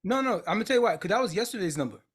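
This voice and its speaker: A man co-hosting a casual chat, talking bluntly with lots of swearing and animated retelling. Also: sits high, very fast, slightly rough. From United States. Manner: blunt, profane, animated storytelling. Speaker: podcast co-host.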